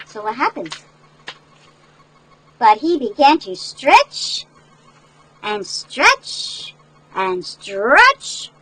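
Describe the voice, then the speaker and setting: An older woman with a high voice reads a storybook to young children. She breaks off for chatty asides, explains the lesson like a grandmother and keeps checking in with little questions. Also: huge pitch swings, very slow, long pauses, noisy background. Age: older, great-grandmother. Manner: instructive, checks in with listeners through tag questions. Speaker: grandmother reading a picture book to children.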